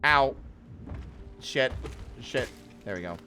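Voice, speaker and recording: A man gives casual live commentary on a game. He rambles and questions himself, swearing freely, with a pitch that moves around a lot. Music plays behind him. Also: animated, slow pace. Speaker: game streamer.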